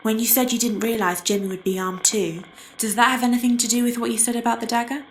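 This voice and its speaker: An actress playing a calm female medium in a horror game, questioning a spirit in a measured, gentle way. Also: fairly high pitch, slight background noise, close to an everyday voice. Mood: intent questioning. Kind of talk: dramatic horror game acting. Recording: echoey room, faint music behind.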